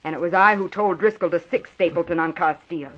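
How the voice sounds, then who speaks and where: A woman's voice: lowish voice, fast talker, natural voice. An actress plays a bold, ruthless woman gangster in a 1940s radio thriller. She is boastful and taunting, with a hard-boiled delivery. The sound is dull, like an old broadcast. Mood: boastful, scornful. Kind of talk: 1940s radio drama acting.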